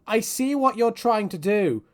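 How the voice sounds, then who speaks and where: A man giving casual, rambling video game commentary in an animated way, with jokey exaggeration. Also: high voice, unhurried.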